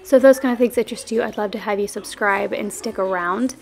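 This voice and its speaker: A young woman, a stay-at-home mom, talking in a relaxed, friendly way with plenty of "um"s as she narrates cleaning her home. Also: mid-range voice, quick pace, vocal fry. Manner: friendly, relaxed, chatty with frequent "um". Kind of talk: chatty cleaning vlog voice-over. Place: home, kitchen and living room.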